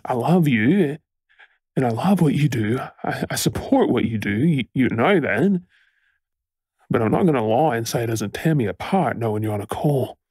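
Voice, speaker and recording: A worried, tender boyfriend with an Australian accent , acted by a man for an intimate audio role-play. He stammers and fusses affectionately, his husky voice rising and falling with emotion. The recording is dry and close. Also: mid-range voice, animated, moderate pace, a little mellow, no echo. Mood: shaken, loving. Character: frantic, tender, fussing boyfriend of a firefighter.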